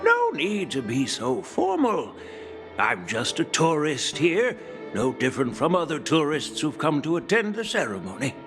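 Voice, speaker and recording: A humble old general from a video game, genial and modest. His speech is slow and rises and falls freely. Loud music plays behind him. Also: a little high, huge pitch swings. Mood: genial, amused. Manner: unhurried, modest, chuckling. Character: genial, humble elderly male general and master smith.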